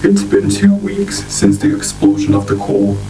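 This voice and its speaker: A man playing a grim monster news anchor, reading a scripted catastrophe bulletin in a solemn, deliberate way. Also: on the low side, big pitch swings. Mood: grave, formal. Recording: distant, roomy, music underneath.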